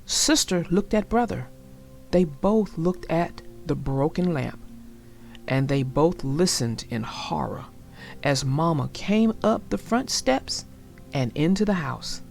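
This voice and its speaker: A woman narrating a children's storybook aloud in a deliberate, expressive way. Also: low-pitched, creaky, soft background music.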